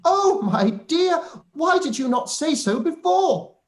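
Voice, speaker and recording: A pompous, fawning clergyman, played by a woman in a radio play. The delivery is wordy and fussy, with very lively swings in pitch and a self-important air. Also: takes it slowly, heavily acted. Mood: flustered. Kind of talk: radio play live reading.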